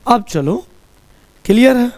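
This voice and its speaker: A man's voice: very high voice, animated, darkish tone, poor audio. A man teaching spoken English in Hindi to a class, with a Hindi accent, drilling sentences and asking students to repeat them. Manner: asks the class to repeat sentences, slow and drawn-out.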